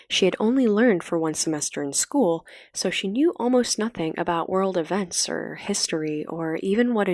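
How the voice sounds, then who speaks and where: A woman's voice: mid-range voice, dry recording, studio quality. A woman reading a story as an audiobook narrator in a scripted narration style.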